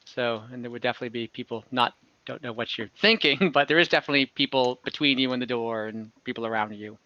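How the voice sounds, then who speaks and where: A man's voice: medium pitch, fast talker, pushed and bright, dry recording, muffled recording. A man casually narrating an online tabletop game as game master. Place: home room on a video call.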